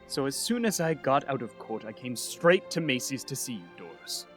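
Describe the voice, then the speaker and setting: A man playing a kindly, jolly old Santa Claus in a radio drama, gentle and avuncular. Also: medium pitch, sing-song, moderate pace, soft background music. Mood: warm, content. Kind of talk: radio play acting.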